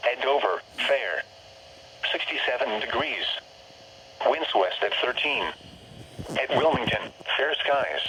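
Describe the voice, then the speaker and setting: A synthetic male voice with an American accent reads a scripted bulletin in a formal, measured, impersonal way over weather radio. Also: medium pitch, very animated, vocal fry, pushed and bright. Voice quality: synthetic text-to-speech voice. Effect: weather radio broadcast. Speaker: automated weather radio announcer. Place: broadcast received on a radio. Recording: muffled, noisy weather radio reception.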